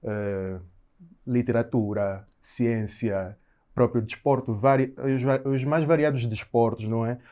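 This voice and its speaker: A man hosting a podcast, chatting casually and agreeing often in a relaxed way. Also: medium pitch, a little slow. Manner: affirming, frequent agreement, relaxed. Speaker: podcast host. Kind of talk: casual chat.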